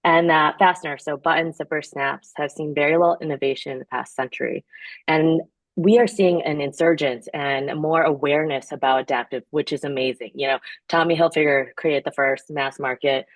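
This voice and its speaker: A woman, a founder answering interview questions in an earnest, measured way with some fillers. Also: sits low, steady tone, dry recording. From born in Korea, raised in the United States. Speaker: founder, podcast guest.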